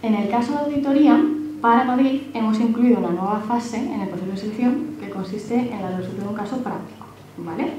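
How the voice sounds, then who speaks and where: A woman's voice: on the high side, quick pace. A woman with a Spanish accent from Spain presenting to students as a recruiter, reassuring and often checking they follow. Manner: reassuring, frequent checking tag "¿vale?". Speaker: recruiter speaking at a careers talk. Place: large auditorium, panel table. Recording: very echoey hall, some noise.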